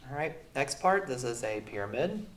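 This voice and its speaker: A man's voice: mid-range voice, lively intonation, normal speed, fairly bright tone. A man teaching a math lesson while sick, talking casually with lots of fillers. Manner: casual, filler-heavy explaining. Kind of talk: classroom lesson recording. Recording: echoey room, far from mic.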